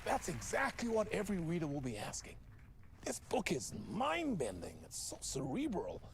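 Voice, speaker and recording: An actor playing a flamboyant TV talk show host in a game cutscene, over-the-top and effusive. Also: male voice, a little high, huge pitch swings, normal speed, creaky, a crowd in the background, background noise, exaggerated voice.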